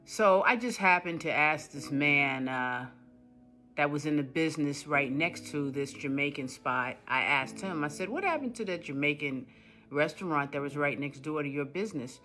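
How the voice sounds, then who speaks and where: A woman's voice: low-pitched, normal speed, sharp tone, faint music behind. A woman telling her complaint in a casual monologue, indignant and repetitive, hammering her points. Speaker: customer telling her story.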